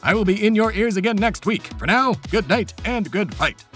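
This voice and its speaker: A man's voice: high voice, lively intonation, moderate pace, over music. A man playing a pompous, irritable centuries-old stoner host in a comedy audio drama, with thick-tongued, formal, foul-mouthed speech. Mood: showman-like.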